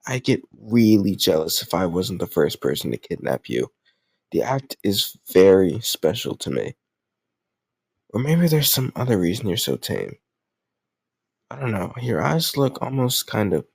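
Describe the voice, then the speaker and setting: A man's voice: lowish voice, very animated, measured pace, vocal fry, long pauses, a little mellow, breathy edge, dry recording, studio quality, exaggerated voice. A man playing a lovesick, possessive yet awkwardly doting kidnapper in an intimate roleplay audio drama, talking straight to the listener with rambling reassurance. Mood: concerned, doting.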